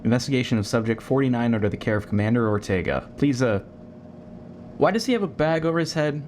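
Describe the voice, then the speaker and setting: A man's voice: mid-range voice, animated, fast talker, a little mellow, slight background noise, lightly acted. A man playing a stern, impatient military commander in a sci-fi audio drama, with clipped, commanding delivery. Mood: weary official.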